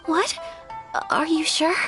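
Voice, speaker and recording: A woman voicing a polite, gentle and modest young noblewoman in a video game, courteous and a little hesitant. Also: very high voice, slow, music in the background. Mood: polite, delighted.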